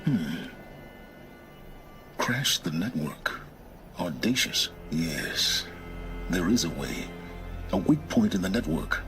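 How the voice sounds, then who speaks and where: An actor playing a secretive, self-serving middle-aged man who guides with measured, cryptic authority in a video game. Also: low voice, sing-song, heavily acted. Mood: measured, scheming. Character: secretive, commanding male informant serving his own interests. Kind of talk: dramatic video game acting. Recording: music behind the voice.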